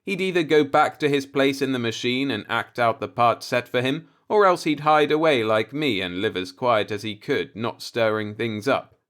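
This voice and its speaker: Male narrator as disillusioned professor, mid-pitched, brooding and rhetorical, bitter philosophical audiobook monologue. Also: moderate pace, few pauses, fairly bright tone, natural voice. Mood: contemptuous, wary. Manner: musing, pointed, rhetorical monologue.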